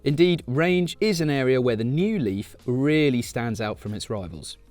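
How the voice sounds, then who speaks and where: Lively man reviewing a car. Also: medium pitch, no echo, soft background music. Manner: measured, informative delivery. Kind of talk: scripted review voice-over.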